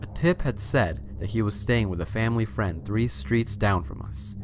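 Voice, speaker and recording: A man with a fairly low voice narrates a creepy tale as its host. His delivery is theatrical and builds suspense. Some noise sits behind the voice. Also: moderate pace. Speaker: host and story reader. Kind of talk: scary storytelling narration.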